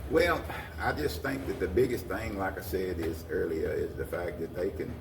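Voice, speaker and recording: Low-voiced man, echoey and distant. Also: normal speed, creaky. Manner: earnest, rambling, repeats phrases. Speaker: guest, community organization president. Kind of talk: conversational interview answers. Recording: echoey, distant mic, noisy room.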